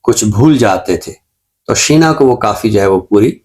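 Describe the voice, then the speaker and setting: A man with a Pakistani accent giving an unscripted book review as a show presenter, explaining in a measured, scholarly way. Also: lowish voice, expressive, a little mellow.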